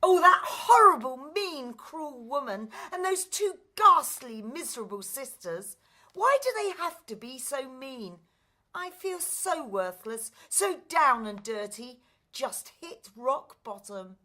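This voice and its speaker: A woman's voice: high voice, sing-song, slow, bright, forceful tone, voice pushed up, heavily acted. A woman playing a downtrodden young Cinderella, plaintive and melodramatic, in a pantomime-style radio play. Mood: aggrieved, self-pitying. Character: downtrodden, miserable young Cinderella pouring out her woes.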